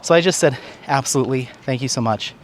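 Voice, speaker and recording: A man's voice: medium pitch, fast talker, a little hiss or hum. A man chatting casually and conversationally in a rambling, upbeat walk-and-talk vlog update, recorded outdoors. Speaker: craft vlogger and content creator.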